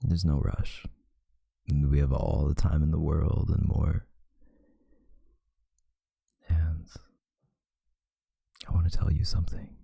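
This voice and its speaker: A man playing a tender, protective vampire lover in an intimate ASMR roleplay, speaking in a husky, hushed voice with deliberate pauses. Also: little pitch movement, no echo, studio quality, exaggerated voice. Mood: solemn devotion. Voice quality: very deep, gravelly, husky, breathy, often near a whisper.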